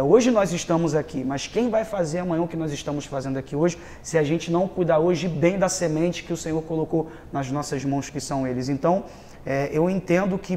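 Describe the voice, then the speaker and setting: A man's voice: fairly high pitch, a little mellow. A man in his thirties with a Rio de Janeiro Brazilian accent, a pastor giving earnest personal testimony in a conversational interview, often checking in with tag questions and repeating words for emphasis. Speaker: pastor and youth leader, interview guest.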